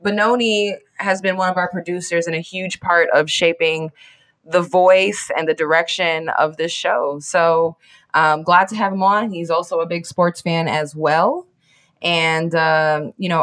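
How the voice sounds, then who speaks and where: A woman hosting a podcast, upbeat and chatty, asking her co-host questions in casual talk. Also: on the low side.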